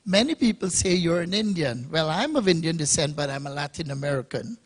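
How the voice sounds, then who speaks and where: Guyana-born male panelist, slightly high voice, expansive speechmaker. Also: lively intonation, a little fast, breathy edge. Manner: expansive, speechmaking, builds to emphatic points. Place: conference hall.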